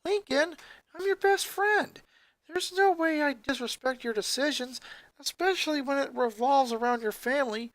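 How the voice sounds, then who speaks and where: A loyal young boy, high in pitch and full of concern for his friend, acted out by a narrator. It is a story reading with a dry, close sound. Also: normal speed, a bit bright, no echo, poor audio. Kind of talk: acted fan fiction reading.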